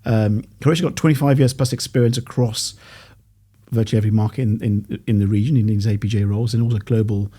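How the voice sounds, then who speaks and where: A male podcast host introducing his guest in a halting way, with many pauses and restarts. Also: on the low side, fairly even intonation, warm tone. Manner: halting, many fillers and restarts, self-deprecating. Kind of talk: interview introduction.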